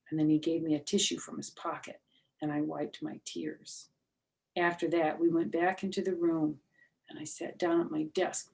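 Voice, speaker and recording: A woman's voice: sits low, vocal fry, darkish tone. A woman reading a children's book aloud expressively as a storyteller, with explanatory asides.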